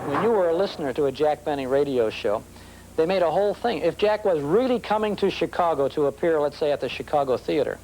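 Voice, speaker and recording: A man's voice: a little high, lively intonation, fast talker, a little mellow, a little hiss or hum, poor audio. Male radio host explaining. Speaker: radio host and nostalgia expert. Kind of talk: interview explanation.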